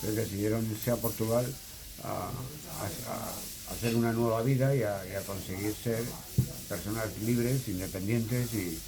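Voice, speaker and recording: A man giving spontaneous family testimony, haltingly, repeating words as he searches for them. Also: on the low side, creaky, background noise.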